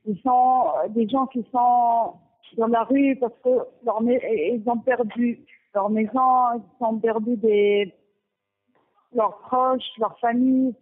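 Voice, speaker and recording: A woman from Gaza, speaking haltingly with many restarts, giving a personal testimony and partly reading her own text. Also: a little high, fairly even intonation. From Gaza, Palestine. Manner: halting, with frequent restarts and pauses. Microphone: telephone. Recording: tinny phone line.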